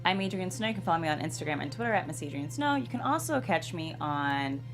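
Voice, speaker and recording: A woman's voice: low-pitched, animated, fast talker, over music. A woman chatting casually as a panelist. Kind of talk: casual chat.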